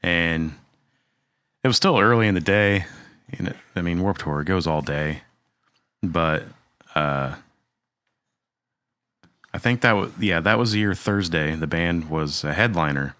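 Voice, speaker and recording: Young male podcast co-host, very low pitch, bright and dry-sounding, relaxed storyteller. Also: unhurried, long pauses, no echo. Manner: relaxed storytelling, many fillers. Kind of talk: casual chat.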